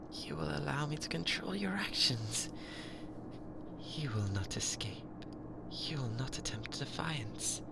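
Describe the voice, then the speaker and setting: Through heavy background noise comes a British-accented artificial intelligence, acted out by a performer, obsessively in love and controlling. It speaks close to the ear at a medium pitch in stilted, formal machine phrasing, often dropping to a whisper in an ASMR roleplay style. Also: vocal fry. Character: possessive lovesick yandere spaceship AI, newly sentient. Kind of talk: binaural ASMR roleplay acting. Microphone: close binaural microphone.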